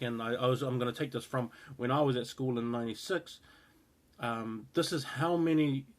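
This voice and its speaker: Rambling man with a New Zealand accent. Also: medium pitch. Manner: rambling, frequent fillers and restarts. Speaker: rugby show host. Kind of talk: casual opinion talk to camera.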